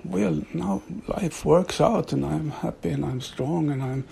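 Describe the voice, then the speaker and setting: A middle-aged man from Sweden, an author and devotee, talking reflectively in a slow, halting way with frequent soft laughs. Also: a little high, vocal fry, a little hiss or hum. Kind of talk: reflective monologue.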